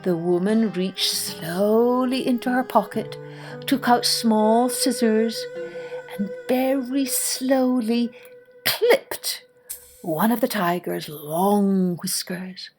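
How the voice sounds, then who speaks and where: A woman narrating a scripted children's story in an expressive way, dropping to a hush for suspense. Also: on the high side, takes it slowly, soft background music. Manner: expressive, hushed at suspenseful moments. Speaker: storyteller, podcast host.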